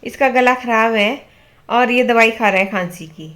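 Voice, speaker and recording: A woman's voice: fairly high pitch, moderate pace, a bit bright. A woman in her thirties from Himachal Pradesh, chatting casually in a home vlog as a mother talking about her household and her kids. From Himachal Pradesh, India. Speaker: vlogger, mother. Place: home indoors. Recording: noisy home recording.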